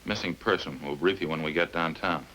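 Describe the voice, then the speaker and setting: An actor with an American accent playing a brisk, businesslike police detective in a 1950s radio drama, terse and official. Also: male voice, sits low, bright, forceful tone. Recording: very noisy old radio recording.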